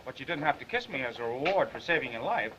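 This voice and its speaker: A man's voice: mid-range voice, very animated, quick pace, a bit bright. An actor playing a brash, flirtatious, stubbornly protective newspaperman with an American accent, bantering in slangy, persistent 1930s film style. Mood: earnest, coaxing. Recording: muffled, hissy 1930s film soundtrack.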